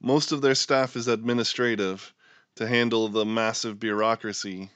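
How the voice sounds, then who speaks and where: A man's voice: fairly low pitch, fairly even intonation, normal speed, fairly bright tone, no room sound. Guy cohosting a podcast, playful and chatty, dead dry sound. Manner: jokey, theatrical introductions. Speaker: podcast co-host. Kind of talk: casual political chat.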